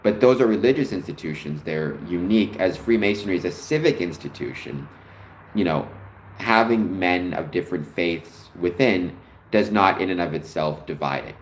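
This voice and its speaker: A man with a very low voice hosts a casual livestream, talking through explanations and answering viewer comments as they come in. His voice rings in an echoey room with a faint hum of ambience behind him. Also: lively intonation, moderate pace. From Canada. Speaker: livestream host answering chat.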